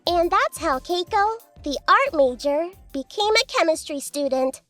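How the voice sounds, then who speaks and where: A woman's voice: extremely high pitch, lively intonation, a little slow, fairly bright tone, full character voice. A woman playing a teasing, mock-pitying narrator of an anime comedy in an arch, theatrical way, with music behind her. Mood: wry, teasing.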